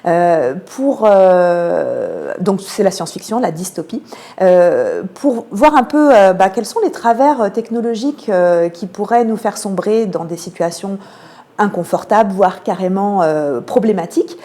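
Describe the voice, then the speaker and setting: Woman, French accent from France, mellow tone, measured and hesitant delivery, radio interview guest, explanatory. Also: medium pitch, lively intonation. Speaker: theatre programme manager, interview guest.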